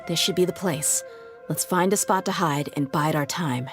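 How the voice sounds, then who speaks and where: A woman voicing a tough, confident, blunt female mercenary in a video game, with a brisk, cocky manner. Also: low-pitched, over music, lightly acted. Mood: focused, confident. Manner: brisk, cocky, casually menacing.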